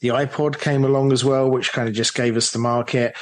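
A man's voice: medium pitch, little pitch movement, brisk. A man with an English accent, speaking casually as an interview guest. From England. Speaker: interview guest, audio drama director.

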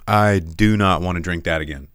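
A man hosting a podcast in casual solo commentary, rambling and animated with sarcastic asides, on a close mic. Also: sits low, moderate pace, a little hiss or hum. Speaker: podcast host.

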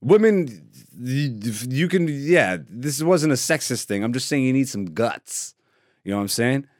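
Comedian podcast host, male, born in Greece; easygoing, swears a lot, breaks into loud hype; dry close mic. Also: medium pitch, measured pace, dry recording. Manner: laid-back, profane, laughing, with sudden loud excited bursts. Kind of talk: casual solo podcast chat.

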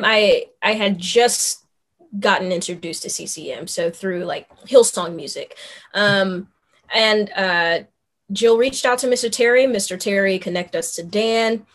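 A young woman from Memphis, a musician guest telling stories in a relaxed chat, with lots of ums and you knows. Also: medium pitch. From Memphis, Tennessee, USA. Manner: chatty storyteller with many ums and you knows. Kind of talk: casual interview chat.

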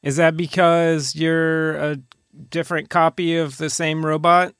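Smooth, higher-voiced man in casual podcast banter. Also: flat delivery, measured pace, fairly bright tone, no room sound, clean studio sound. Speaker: podcast co-host.

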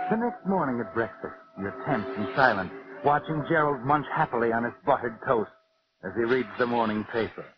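An actor playing a sinister, knowing narrator who taunts the guilty in a 1940s radio suspense show. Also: male voice, mid-range voice, lightly acted. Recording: muffled old radio recording with music.

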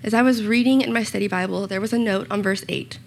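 A young woman giving a short church sermon with a slightly raspy voice, earnest and personal, with small self-conscious asides. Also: medium pitch, steady tone, fast, slight background noise. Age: young adult. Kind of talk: sermon with scripture reading and prayer. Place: church room.

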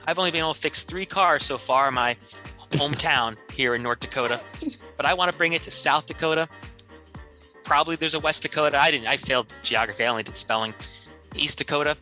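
An earnest, washed-up small-town guy played by a man makes a rambling mock-commercial plea for money. His voice is very bright and on the high side, with faint music behind it. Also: fast. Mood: earnest pleading.

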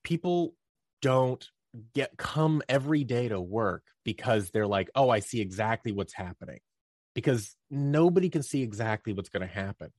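A man's voice: medium pitch, moderate pace, dry recording, studio quality. A man speaking as a podcast guest about his work, conversational and given to acting out imagined remarks. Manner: animated, acts out imagined dialogue as examples. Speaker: guest, audio drama producer and company co-owner. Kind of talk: conversational podcast interview answers.

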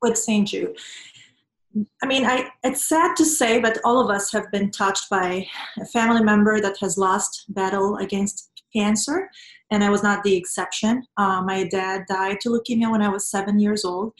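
A woman with a Venezuelan Spanish accent tells her story as an interview guest. Her voice sits at a middling pitch and she speaks in an earnest, reflective way. The sound is roomy, with noticeable echo. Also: normal speed. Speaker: interview guest, nonprofit professional. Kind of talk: conversational interview, personal storytelling.

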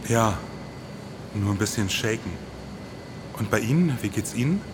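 A man's voice: lively intonation, measured pace. A man acting an anxious podcaster on a perilous expedition in a scripted radio play. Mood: shaken. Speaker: podcast performer. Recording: noisy sound-effect background, vehicle-like rumble.

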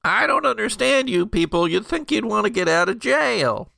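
A man's voice: high voice, sing-song, moderate pace, creaky, bright, forceful tone, no echo, studio quality, pitched above the performer's own voice, heavily acted. A man voicing a blustery, exasperated small-town sheriff's deputy. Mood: exasperated, needling.

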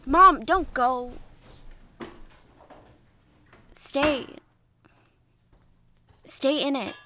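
An actress playing an earnest, defiant young daughter in an audio drama, speaking with intense, deliberate, poetic phrasing. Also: female voice, sits high, bright, forceful tone, slight background noise, full character voice. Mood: soft, pleading. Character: earnest, defiant teenage daughter preparing for ritual role. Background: music under the voice.

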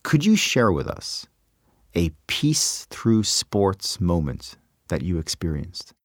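A woman's voice: very deep voice, very animated, takes it slowly, no echo, studio quality. A woman hosting a conversational interview, asking questions and often chiming in with short affirmations. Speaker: interviewer, podcast host.

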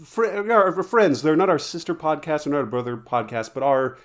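A man's voice: on the high side, expressive, normal speed. A man co-hosting a podcast, telling jokey, rambling stories in casual banter. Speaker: podcast co-host.

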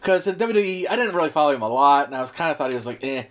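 A man's voice: fairly high pitch, lively intonation, rapid-fire. A man co-hosting a casual podcast, rambling with lots of fillers and restarts, his voice starting to wear out. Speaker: podcast co-host. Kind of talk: casual chat.

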